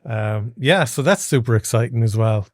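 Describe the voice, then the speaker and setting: Irish podcast host guy, easygoing, middle pitch, dry. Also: animated, moderate pace, darkish tone, no room sound. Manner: affirming, easygoing. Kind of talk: interview chat.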